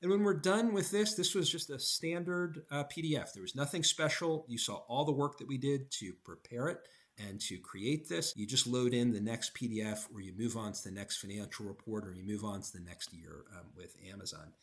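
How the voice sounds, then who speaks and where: A man giving an explanatory software demo in an emphatic, conversational way. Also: mid-range voice, fairly quick, hardly any pauses. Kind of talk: explanatory product demo, semi-scripted talk.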